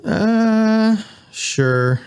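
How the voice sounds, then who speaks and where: A man's voice: high voice, animated, extremely slow, a little mellow, smooth, voice pushed up, heavily acted. A man voicing a cheeky, cocky male corgi who pilots a ship and steals, in a sci-fi audio story. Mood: teasing.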